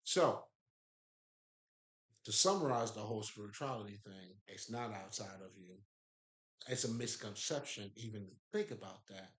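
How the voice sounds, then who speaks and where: A man's voice: on the low side, animated, moderate pace, pauses often, a bit bright. Haitian-accented man in an echoey room. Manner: loose, profane, emphatic, laughs often. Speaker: spiritual speaker. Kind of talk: casual spiritual talk.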